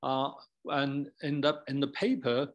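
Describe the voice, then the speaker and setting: A man with a mid-pitched voice talks through ideas as an academic researcher would. His delivery is informal and discursive. He moves haltingly, often leaning on "like" and checking in with "right?". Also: fairly even intonation.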